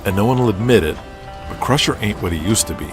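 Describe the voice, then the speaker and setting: Frustrated young male crew hand, acted by a man, casual griping, low register, music bed. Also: lively intonation, fast talker, close to an everyday voice. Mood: frustrated, questioning. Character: frustrated, outspoken young nephew on wrestling ring crew. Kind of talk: audio drama dialogue.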